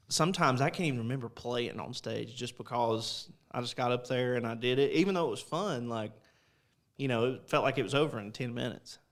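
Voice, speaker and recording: Man, relaxed, drawling, casual guest chat, medium pitch. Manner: relaxed, drawling, lots of fillers. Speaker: guest musician.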